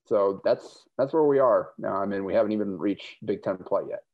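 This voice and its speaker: A man's voice: lowish voice, moderate pace, muffled recording. A man who writes about basketball, guesting on a podcast and explaining stats analytically, often stammering at the start of sentences. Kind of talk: casual sports podcast chat.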